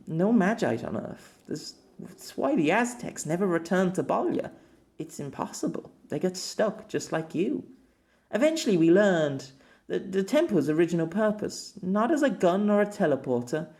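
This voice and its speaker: A man's voice: high-pitched, a little mellow. A man voicing a grieving empress who reads out a tender, solemn farewell letter.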